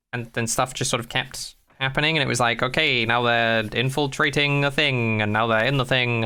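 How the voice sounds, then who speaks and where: Jokey rambling guy on a podcast, bright clear voice, swears a bit, casual banter. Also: medium pitch. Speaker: podcast co-host. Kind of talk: casual comic banter about a sci-fi show.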